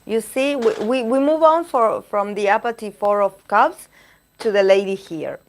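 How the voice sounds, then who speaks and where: A woman reading tarot cards on a live stream, chatting with viewers and pausing to search for words, her voice going hoarse. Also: mid-range voice, slight background noise. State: losing her voice. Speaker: tarot reader.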